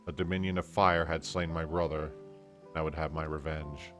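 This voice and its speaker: A man voicing a brooding young warrior in an amateur game dub, reading slowly and solemnly. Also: a bass voice, fairly even intonation, fairly bright tone, faint music behind. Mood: somber. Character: brooding young male warrior, guilt-ridden and grave.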